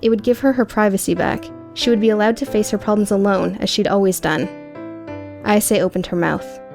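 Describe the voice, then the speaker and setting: A woman's voice: medium pitch, steady tone, fairly quick, vocal fry, a little mellow, faint music behind. A woman reading dramatic scripted narration as an audiobook narrator.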